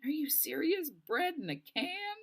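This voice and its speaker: A woman's voice: high voice, sing-song, unhurried, creaky, voice pushed up, full character voice. A woman voicing an anxious, curious young girl stranded in the wilderness in a storybook read-aloud. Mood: surprised. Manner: questioning, worried. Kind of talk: storybook character voice.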